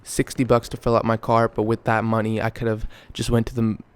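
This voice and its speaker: A young man from Canada, rambling and joking through a casual question-and-answer vlog, with a raspy voice because he just woke up. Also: fairly low pitch, monotone, brisk. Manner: rambling, self-correcting, joking. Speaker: YouTuber.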